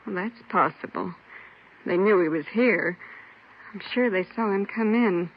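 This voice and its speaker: A woman's voice: mid-range voice, measured pace. An actress playing a helpful, talkative American businesswoman answering police questions in a 1950s radio drama, polite and assured. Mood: defensive, assured. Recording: muffled, noisy old radio recording.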